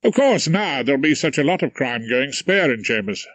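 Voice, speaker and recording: A man's voice: mid-range voice, very animated, normal speed, a bit bright, dry recording. A man voicing an eager young woman barrister who talks enthusiastically.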